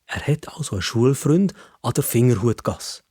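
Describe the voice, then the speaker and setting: A man's voice: mid-range voice, expressive, normal speed, darkish tone, slightly rough, dry recording. Swiss man telling a story, dry sound. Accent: Swiss German. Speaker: narrator. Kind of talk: first-person children's storytelling.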